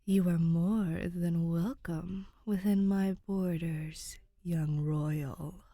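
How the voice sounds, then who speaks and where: A woman acts out an ancient female ghost who rules a city, in a storybook style. She speaks slowly and formally, with stately poise and a mysterious, amused air. The sound is dry and intimate. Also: fairly low pitch, steady tone, dark tone, dry recording, exaggerated voice. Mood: gracious, amused. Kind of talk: fan fiction audiobook character voice.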